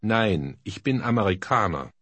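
A man slowly modeling phrases for learners to repeat in a course recording. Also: on the low side, sing-song, a bit bright, husky, no echo. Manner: slow, carefully articulated. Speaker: native model speaker. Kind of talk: slow drill repetition. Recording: dry studio.

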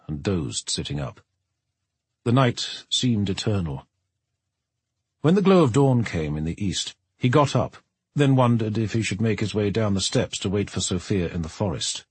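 A man reading scripted third-person narration from a novel as an expressive audiobook narrator. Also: on the low side, breathy edge, no echo.

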